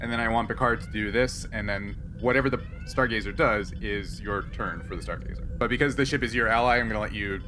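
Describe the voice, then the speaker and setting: A man running a tabletop game as game master, explaining rules casually in a halting, accommodating way. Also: fairly low pitch, background noise.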